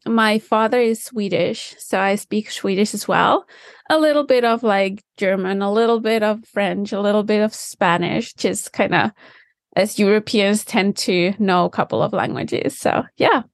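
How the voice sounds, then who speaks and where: A woman talks through her answers thoughtfully and with enthusiasm, now and then searching for the right word. Her voice sits at a middle pitch. The recording is dry, with almost no room sound. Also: unhurried, dry recording. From Finland. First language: Finnish. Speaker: guest, design specialist. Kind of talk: conversational interview answers. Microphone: remote call from home.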